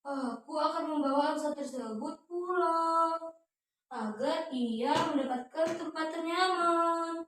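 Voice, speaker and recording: Kind, lonely storybook farmer played by a woman, high-pitched, tender and full of wonder, in a very echoey room. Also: fairly bright tone, a little hiss or hum. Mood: surprised, pitying. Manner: exclamatory, wondering, drawn-out phrases. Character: kind, lonely farmer, wide-eyed and tender toward animals. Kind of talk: children's fairy tale storytelling. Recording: echoey room, distant microphone.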